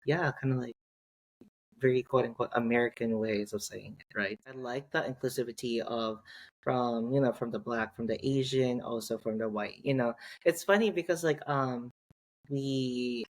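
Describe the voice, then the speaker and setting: A man's voice: medium pitch, rough recording. A man, a Tagalog speaker, chatting as a guest on a podcast with lots of fillers and restarts. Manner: halting, many fillers and restarts. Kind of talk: casual podcast chat.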